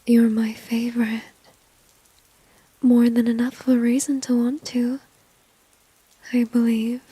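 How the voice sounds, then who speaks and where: A woman plays a dominant, flirtatious villainess in an intimate ASMR roleplay. Her whisper is soft, mellow and very breathy, unhurried and smugly teasing. It sits close and dry on the microphone. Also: on the high side, flat delivery, no echo, exaggerated voice, poor audio. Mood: seductive, coaxing. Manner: slow, teasing, smug, intimate. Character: seductive, dominant female villain teasing a shy enemy.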